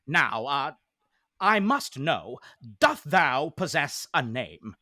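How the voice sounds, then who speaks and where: A man's voice: a little high, very animated, slow pace, sharp tone, no room sound, clean studio sound, full character voice. A man voicing a jovial masculine forest being who speaks in formal, archaic thee-and-thou English.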